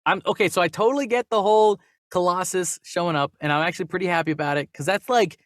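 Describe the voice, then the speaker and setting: A man hosting a casual movie news chat, jokey and animated, sometimes stumbling as he reads. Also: high voice, quick pace, no echo, studio quality. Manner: jokey, animated, stumbles over names when reading. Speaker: podcast host reading and discussing movie news.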